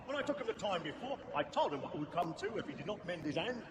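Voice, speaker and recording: An actor playing a pompous, roguish crime boss in a period stage play, declaiming theatrically and relishing each phrase. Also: male voice, high-pitched, sing-song, fast talker, background noise. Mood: scheming, self-satisfied. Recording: echoey theater hall under loud music.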